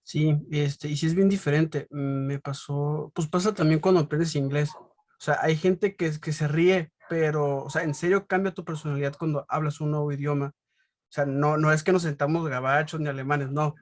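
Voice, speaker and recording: A man with a Mexican accent hosting a casual interview, explaining his points haltingly and repeating words as he goes. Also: on the high side, steady tone.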